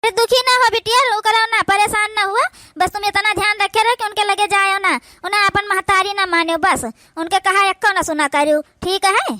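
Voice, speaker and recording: Scheming high-pitched Awadhi aunt played by a woman. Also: fairly even intonation, brisk, sharp tone, no room sound, clean studio sound. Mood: conspiratorial, coaxing. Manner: insinuating, falsely sympathetic. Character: scheming, meddling aunt turning a girl against her stepmother. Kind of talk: amateur comedy cartoon dialogue.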